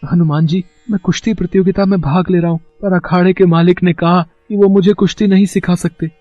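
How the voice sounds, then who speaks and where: A man's voice: high voice, steady tone, brisk, warm tone, no room sound, faint music behind, lightly acted. A man voicing an earnest, devout young village lad in a cartoon moral story, speaking respectfully. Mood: pleading. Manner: pleading, respectful. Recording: dull, lo-fi sound.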